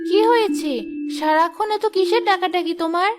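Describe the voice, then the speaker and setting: A woman's voice: extremely high pitch, normal speed, over music. A woman voicing an exasperated, scolding village wife in a cartoon, with a nagging, complaining manner. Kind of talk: cartoon voice acting.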